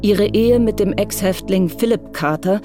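A woman narrating a crime radio play in a detached, measured, matter-of-fact way. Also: mid-range voice, music in the background, lightly acted.

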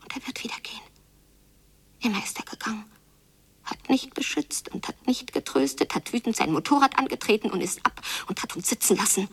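A woman playing a frightened daughter in a radio drama, thinking aloud in hushed, breathy fragments. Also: mid-range voice, big pitch swings, brisk, in a whisper, far from the mic. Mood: bitter, hurt. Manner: hushed, breathy interior monologue in clipped fragments. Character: Jule, frightened daughter brooding over her quarrelling parents. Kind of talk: literary radio drama monologue.

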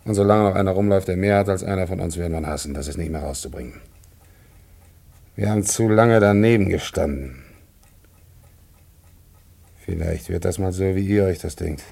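Gravelly, low-voiced bitter old father. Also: brisk, long pauses, darkish tone. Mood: bitter, resigned. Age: older. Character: bitter old father, lifelong communist worker, weary. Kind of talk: serious German radio drama acting.

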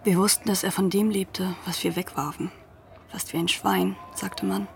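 A woman playing a school pupil who narrates a literary radio drama in a hushed, deliberate way. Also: medium pitch, steady tone, creaky, a little hiss or hum, lightly acted. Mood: hushed, observant. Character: former school pupil recounting events as narrator.

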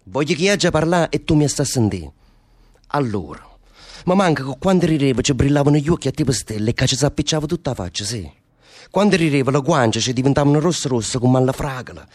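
A man's voice: medium pitch, animated, fairly quick, a little mellow, lightly acted. Male prisoner, played by an actor, Neapolitan accent, volatile and lovesick, impassioned rambling storyteller for radio theatre. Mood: anguished, agitated. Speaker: stage actor. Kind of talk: radio theatre dialogue in dialect.

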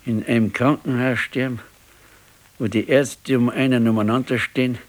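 An actor playing an ailing, brooding old retired husband in a radio play, with a Bavarian accent and a resigned, halting, plain-spoken manner. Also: male voice, mid-range voice, steady tone, lightly acted. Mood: brooding, regretful. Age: older. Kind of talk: naturalistic 1970s radio drama acting.

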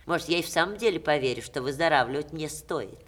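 An actress in a 1960s Russian radio play, playing a witty, ailing stage star with expressive theatrical diction. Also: female voice, low-pitched, fast talker. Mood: fond, wry.

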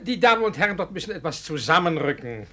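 A fussy village photographer in a vintage radio play. His piercing, high voice jumps about excitedly as he officiously obliges. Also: fast, exaggerated voice. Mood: fussy, eager. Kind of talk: 1950 radio play acting.

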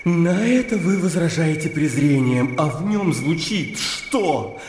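A man's voice: a little high, lively intonation, slow. An actor playing an impassioned, idealistic young man in a radio drama, speaking in fervent, agitated rhetoric. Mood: impassioned, accusing. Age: young adult. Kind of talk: radio drama acting, literary dialogue. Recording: echoey reverberant hall with background noise.

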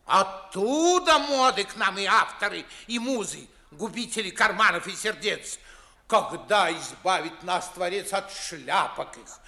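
Blustering, high-pitched, grumbling old father. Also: lively intonation, unhurried, sharp tone, full character voice. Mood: indignant grumbling. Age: older. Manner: blustering, declamatory, scolding. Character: grumbling, pompous widowed father, grey-haired government official. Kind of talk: 1950s theatrical radio play acting in verse.

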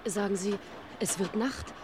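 A woman's voice: mid-range voice, a little slow, close to an everyday voice. An actress playing an anxious mother who nervously coaxes and soothes her small daughter in a radio crime drama. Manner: coaxing, nervous. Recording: clear outdoor noise behind.

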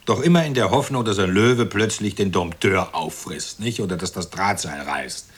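An actor playing a smug, callous, middle-aged husband in a radio play, with a sneering, opinionated delivery. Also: male voice, low voice, moderate pace. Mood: cynical. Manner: sneering, opinionated, self-satisfied. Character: smug, callous well-off husband commenting on television violence. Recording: clearly noisy recording.

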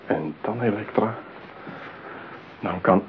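A man's voice: fairly low pitch, slow. An actor in a radio play playing a lonely, possessive man besotted with his mechanical bride, talking theatrically. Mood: tender, gloating. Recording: tinny narrow-band radio play, clear hiss.

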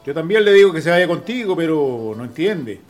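Radio drama: older Chilean farm patron played by a man, kindly, resigned, slightly high voice, music underneath. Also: big pitch swings, fairly quick.

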